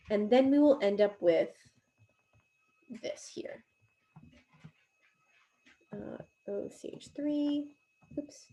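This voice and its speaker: A woman's voice: fairly high pitch, darkish tone. A woman giving a recorded chemistry lecture while drawing, explaining step by step, her voice a little worn from coughing. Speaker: chemistry teacher.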